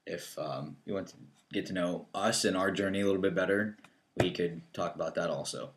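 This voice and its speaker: A young man in his early twenties talks plainly with a dry, wry touch. His voice sits low as he co-hosts a casual chat. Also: steady tone, fairly quick. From northeastern Ohio. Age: 20s. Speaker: co-host.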